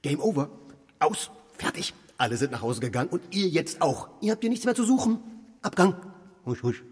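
Polternder, fieser Schurke, wild schwankende Stimme. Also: male voice, a little high, very animated, moderate pace, husky. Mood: bossy, sneering. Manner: ranting, theatrical, exasperated. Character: blustering, mean, self-important burglar villain who hates children. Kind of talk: children's radio play acting.

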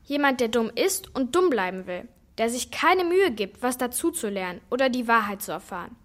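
A woman's voice: on the high side, very animated, moderate pace. A woman playing a frank, know-it-all young girl, blunt and confident, in a children's radio play. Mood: curious, frank. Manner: blunt, matter-of-fact, confident.

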